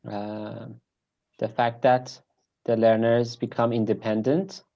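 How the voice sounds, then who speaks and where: A woman's voice: rumbling low, little pitch movement, takes it slowly, dull audio. A woman from Iran taking part in a webinar over a video call, giving brief, polite replies. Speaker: webinar participant. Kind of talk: casual discussion.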